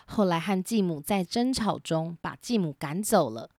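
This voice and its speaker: A woman narrating a children's story as host in a gentle, clear storytelling manner, recorded with simple home equipment. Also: on the low side, lively intonation, normal speed, no echo, studio quality. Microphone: simple home recording setup.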